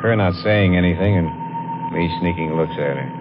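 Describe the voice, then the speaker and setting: A man's voice: low voice, normal speed, heavy background noise. An actor playing an edgy working-class man in his twenties in a 1950s noir radio drama, colloquial and plain-spoken. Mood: brooding. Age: 20s. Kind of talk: 1950s radio drama acting, first-person noir narration. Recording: muffled old radio recording, music behind.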